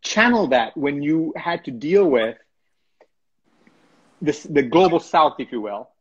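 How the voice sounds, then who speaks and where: Hesitant host on a dull video call. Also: male voice, fairly high pitch, lively intonation, takes it slowly, husky. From Sri Lanka. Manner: thoughtful, hesitant, many restarts. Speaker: interview host. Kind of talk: conversational interview. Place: home room with bookshelves, indoors. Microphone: laptop webcam on a video call.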